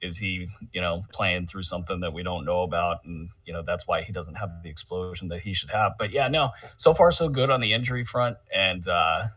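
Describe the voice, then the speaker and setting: A man co-hosting a casual sports chat, talking in a halting, conversational way, a little away from the microphone. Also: low voice, pushed and bright, no echo, far from the mic. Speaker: podcast co-host. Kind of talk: casual fantasy basketball chat.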